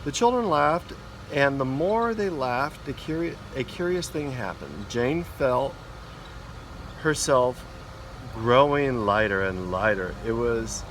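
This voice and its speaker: A man reads a children's storybook aloud in an expressive way, stumbling now and then, outdoors in a backyard. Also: mid-range voice, slow. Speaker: school principal reading a story. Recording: noisy outdoor backyard recording. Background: neighbourhood ambience, mowers, dogs, kids.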